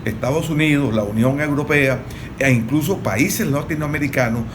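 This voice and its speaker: A Venezuelan man speaking as an analyst on a political panel, explaining in a didactic, measured way. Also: fairly high pitch. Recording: clearly noisy recording.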